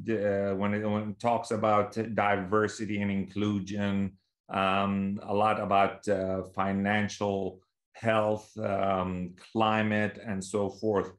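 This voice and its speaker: A middle-aged man from Kuwait giving an informal webinar talk in a hesitant, conversational way. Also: lowish voice. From Kuwait, Palestinian by origin. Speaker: guest speaker, leadership consultant. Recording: echoey room on webinar microphone.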